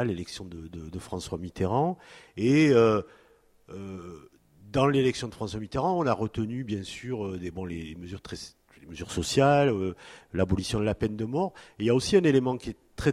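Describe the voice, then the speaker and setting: A man in his fifties from France, speaking at a panel in a halting way with many fillers and restarts. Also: lowish voice, darkish tone. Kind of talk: hesitant spoken talk at a public panel. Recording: long hall reverb.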